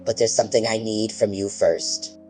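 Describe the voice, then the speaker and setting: A low, dark, mocking croon from a slimy monster posing as someone's mother, as a man puts it on. The voice sounds set back from the mic, with soft music underneath. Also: little pitch movement, moderate pace, close to an everyday voice, low-quality recording. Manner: mocking, sinister, cooing.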